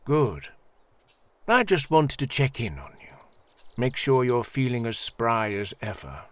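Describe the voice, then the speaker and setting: Paternal high priest, fantasy audio drama. Mid-pitched, widely inflected, formal, devout, placating. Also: male voice, sing-song. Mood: gentle concern. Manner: measured, solemn, formal.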